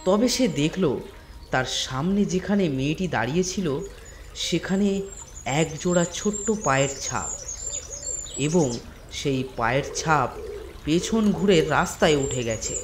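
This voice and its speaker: A man narrating a scripted ghost story in a dramatic storytelling manner with drawn-out pauses. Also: on the high side, animated, a little hiss or hum. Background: soft instrumental music.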